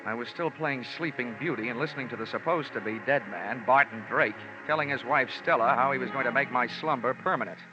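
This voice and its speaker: A man's voice: medium pitch, a little fast, few pauses, highs cut off, lightly acted. An actor playing a hard-boiled American insurance investigator, narrating his case in a wry, clipped, wisecracking way in vintage radio drama. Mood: grim, wry. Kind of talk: 1940s-50s radio detective narration. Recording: band-limited old radio recording with music.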